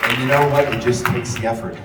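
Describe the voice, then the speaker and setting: A man's voice: mid-range voice, fairly even intonation, fast talker. A man giving an impassioned, repetitive, profane rally speech in a large event hall. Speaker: rally speaker and radio host. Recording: echoey hall, distant, loud crowd. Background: loud crowd and hall noise.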